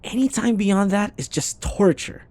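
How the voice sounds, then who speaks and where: A man's voice: sits high, animated, a little slow, darkish tone, no echo. A man playing a sarcastic, irritable office rival who taunts and scoffs, in a scripted boyfriend audio roleplay. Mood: exasperated. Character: snarky, irritable male office rival, teasing and combative.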